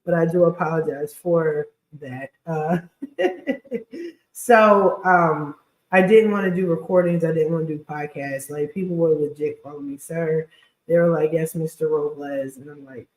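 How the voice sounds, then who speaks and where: Casting: female livestream host, scratchy voice, informal and blunt chatty delivery, recorded in an echoing space. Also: low-pitched. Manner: informal, blunt, slangy talk. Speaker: podcast host and credit repair specialist. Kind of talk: casual chatty livestream monologue.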